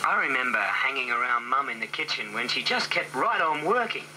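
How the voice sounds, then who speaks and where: A man's voice: mid-range voice, lively intonation, a little fast, noisy background. Reflective man on an old broadcast tape.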